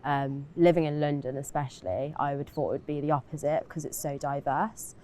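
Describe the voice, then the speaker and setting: Low-voiced young woman, reflective. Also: fairly even intonation, a little fast, mellow, a little hiss or hum. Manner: reflective, with frequent fillers. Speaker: interviewee. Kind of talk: documentary interview.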